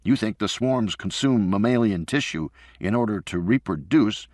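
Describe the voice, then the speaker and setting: A man's voice: on the low side, expressive, moderate pace, darkish tone, no echo, clean studio sound, pitched above the performer's own voice, lightly acted. A man voicing a blunt male field researcher in an audiobook, pressing his theory in a plain, forthright way. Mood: insistent.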